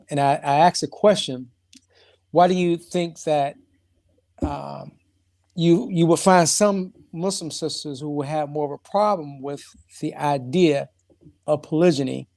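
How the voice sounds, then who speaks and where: A man from St. Louis calling in with a question, reflective and halting as he talks. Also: on the high side, vocal fry, darkish tone, no room sound, clean studio sound. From St. Louis, USA. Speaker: caller. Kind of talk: call-in question.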